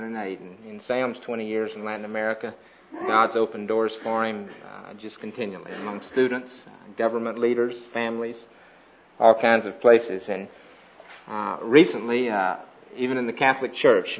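Earnest man giving a mission report. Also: lowish voice. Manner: earnest, steady, frequent "uh" pauses. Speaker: missionary speaker. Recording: dull lo-fi recording.

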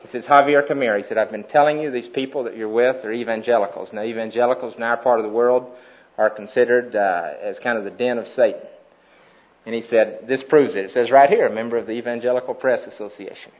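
Earnest man giving a mission report. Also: mid-range voice. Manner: earnest, steady, frequent "uh" pauses. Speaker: missionary speaker. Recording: dull lo-fi recording.

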